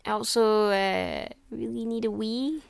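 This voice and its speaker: An actress playing a cheeky young hitchhiker, teasing in a playful deadpan way, in an audio drama. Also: female voice, a little high, slow, gravelly, smooth, no room sound.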